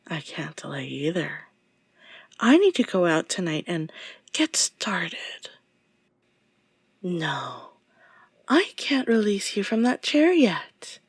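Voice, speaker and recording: Unhinged possessive female captor, played by a voice actress, deliberate and husky, menacing, dry close sound, audio drama. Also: dry recording, exaggerated voice. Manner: swings between insistent outbursts and low menacing murmurs. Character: obsessive, menacing woman kidnapper, possessive and unhinged. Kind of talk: dramatic audio roleplay monologue.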